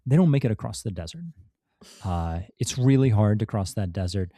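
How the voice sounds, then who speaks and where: A man's voice: on the low side, lively intonation, moderate pace, mellow, no room sound, studio quality. A man, a podcast guest and author, telling a history story in casual talk, with many fillers and restarts. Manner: rambling, many fillers and restarts, laughs now and then.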